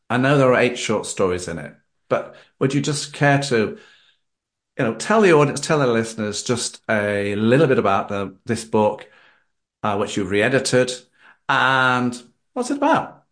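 Male interviewer with very lively intonation. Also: medium pitch. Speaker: interviewer, host. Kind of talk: conversational interview questioning.

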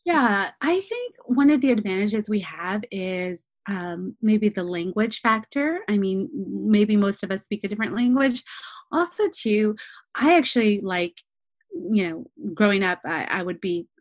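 A woman guest on a podcast, talking in a lively, casual way, restarting her sentences often. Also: medium pitch, unhurried, no room sound. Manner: animated, restarts sentences, many fillers. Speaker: travel journalist guest.

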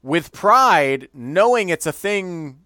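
A man co-hosting a podcast, chatting casually about a cooking show, animated and quick to laugh at his own jokes. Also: sits high, no echo. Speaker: podcast co-host. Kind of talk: casual chat.

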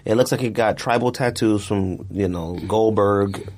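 A man's voice: fairly low pitch, moderate pace, a little mellow. A man with an American accent co-hosting a sneaker podcast, chatting casually in a slangy, playful, joking way. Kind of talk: casual banter about sneakers.

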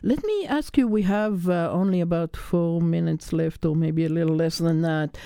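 Woman host for a radio interview, smooth and mellow, dry studio sound, unhurried. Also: on the low side, no room sound. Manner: unhurried, with filler pauses.

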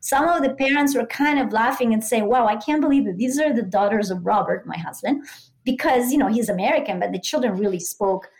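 A woman's voice: a little high, lively intonation, brisk, low-quality recording. Middle-aged Italian woman explaining. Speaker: interview guest, expert on multilingual children. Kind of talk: conversational expert explanation.

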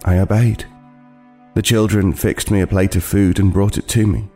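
An actor playing an uneasy homeless man who narrates his horror tale in a halting, brooding way. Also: sits low, fairly even intonation, mellow. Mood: haunted, halting. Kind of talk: dramatic first-person horror narration. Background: music.

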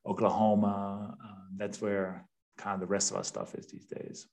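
A man's voice: fairly low pitch, level tone, normal speed, a little mellow. A man in his fifties from Denmark, with a Danish accent, talking informally as a guest on a podcast. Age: 50s. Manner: conversational, informal. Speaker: podcast guest, real estate investor. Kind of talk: casual interview chat.

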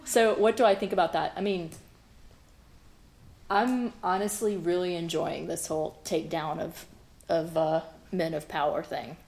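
A woman's voice: on the low side, fairly even intonation, a little slow, vocal fry, darkish tone. A woman from the American South, a guest artist talking frankly in an interview and laughing at her own jokes. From Southern United States (Memphis, South Georgia). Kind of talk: conversational interview.

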